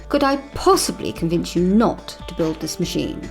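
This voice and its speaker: A mysterious, secretive woman, voiced by a woman speaks in a cool, knowing, measured manner. Her voice has a gravelly edge and moves animatedly up and down. Soft music plays behind her. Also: lowish voice, big pitch swings.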